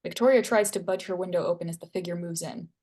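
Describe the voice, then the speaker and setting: A woman's voice: on the low side, quick pace, dark tone. A woman narrator reading screenplay stage directions at a table read.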